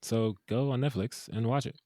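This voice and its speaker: A man co-hosting a casual movie review podcast, animated and jokey with quick asides. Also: mid-range voice, dry recording. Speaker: podcast co-host.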